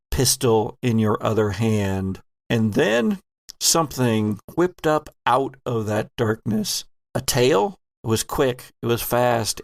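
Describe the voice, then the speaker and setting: A man hosting and game mastering a horror audio drama, narrating with theatrical, deliberate phrasing. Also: medium pitch, no echo, studio quality.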